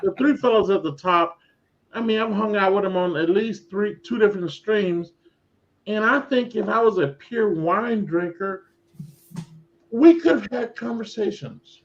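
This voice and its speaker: A man's voice: sits high, unhurried. A man in his forties, a guest on a casual group stream, rambling and stumbling over his words, with occasional swearing. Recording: dull lo-fi call audio.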